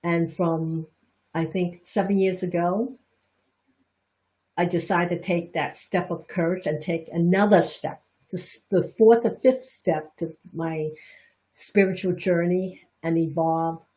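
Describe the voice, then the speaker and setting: A woman's voice: lowish voice, steady tone, unhurried, warm tone, rough recording. A middle-aged woman with a Chinese Bostonian accent, teaching reflexology on a livestream in a chatty, candid way, breaking off and restarting her sentences.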